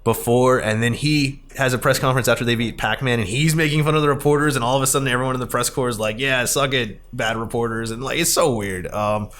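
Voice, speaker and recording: A man's voice: mid-range voice, steady tone, quick pace, few pauses, fairly bright tone. A man hosting a podcast in a casual, rambling, conversational style, as he recaps a film. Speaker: podcast host.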